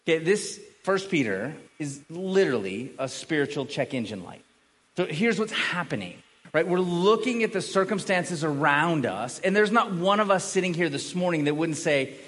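A man's voice: on the high side, a bit bright. A middle-aged man preaching a conversational sermon as a pastor, often repeating and restarting words as he earnestly explains. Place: large room, preaching to a congregation. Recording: long hall reverb.

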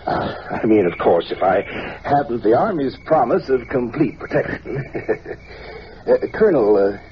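An actor playing a timid, fawning scientist in an old-time radio drama, hesitant and fussy. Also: male voice, mid-range voice, expressive, unhurried, close to an everyday voice. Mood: nervous, ingratiating. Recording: muffled, noisy vintage radio recording.

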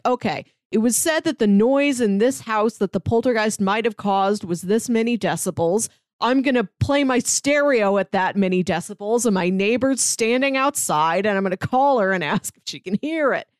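A woman's voice: on the high side, dry recording. A woman co-hosting a podcast, talking in a casual review style. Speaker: podcast co-host.

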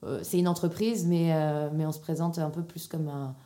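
A woman's voice: deep voice, steady tone, normal speed, dark tone. A woman in her thirties with a Parisian French accent, answering casually in an interview and thinking aloud. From Paris region, France. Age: 30s. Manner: informal, hesitant, thinking aloud. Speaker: podcast guest, entrepreneur.

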